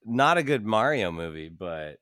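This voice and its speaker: A man's voice: on the low side, sing-song, moderate pace, fairly bright tone, dry recording, studio quality. A man chatting casually on a podcast about movies, speaking in an opinionated way.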